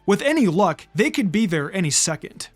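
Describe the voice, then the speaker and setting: A narrator giving an expressive reading of a fan fiction story. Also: medium pitch, moderate pace. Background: background music.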